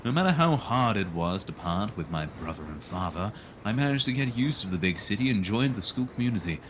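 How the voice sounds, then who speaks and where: Man, low voice, game read-aloud, dry irreverent asides, faint-to-clear background noise. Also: big pitch swings, brisk. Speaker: streamer reading a visual novel aloud.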